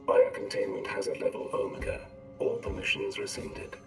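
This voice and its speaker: An actor voicing a cold, impassive male station computer in a sci-fi video game, making flat official announcements. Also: brisk, lightly acted. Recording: echoey, distant, music behind.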